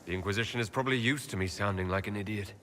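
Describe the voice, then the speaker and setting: A man playing an earnest, thoughtful heroic leader in a video game, with a measured, sincere manner. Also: lowish voice, a bit bright, a little hiss or hum, natural voice. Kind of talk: video game character dialogue.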